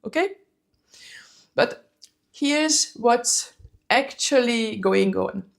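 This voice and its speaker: A woman with a Hungarian accent speaks in a casual, conversational vlog style, full of friendly asides. Her voice sits a little on the high side. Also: animated, very slow, gravelly. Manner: chatty, conversational, frequent "you know" asides. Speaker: fragrance vlogger.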